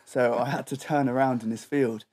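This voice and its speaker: A man's voice: mid-range voice, fairly even intonation, moderate pace, a little mellow, breathy edge. A young adult British man telling an anecdote in a casual, slangy way, quoting his own thoughts as he goes.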